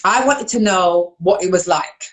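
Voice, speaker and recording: A woman from London with a London accent, hosting a casual live-stream talk show, animated and laughing often. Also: measured pace. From London, England. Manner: animated, laughs often, jumps up in pitch when excited. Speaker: host.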